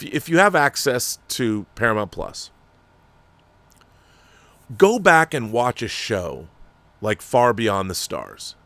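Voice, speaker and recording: A man's voice: medium pitch, a bit bright, no echo. Emphatic man critiquing TV, dry sound. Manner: emphatic, critical, many restarts. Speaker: host reading viewer letters. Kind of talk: opinionated commentary.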